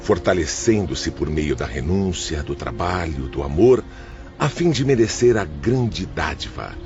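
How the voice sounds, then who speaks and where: A man's voice: sits low, animated, a little slow, darkish tone. A male actor with a Brazilian accent playing a cultured, dignified spirit poet and mentor in an audio drama, eloquent and didactic. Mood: solemn, instructive. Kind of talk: audio drama acting, declamatory literary speech. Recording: muffled lo-fi audio with music.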